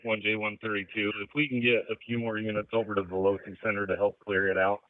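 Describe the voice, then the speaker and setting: A man's voice: lowish voice, flat delivery, fast talker, smooth, no echo. A male police officer talking over the radio in dispatch style, recorded from a scanner. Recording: muffled narrowband police scanner audio.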